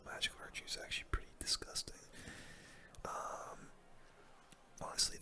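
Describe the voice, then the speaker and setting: Whispering ASMR gamer, casual and breathy. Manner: casual, slangy. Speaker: gaming video host. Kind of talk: whispered ASMR game commentary.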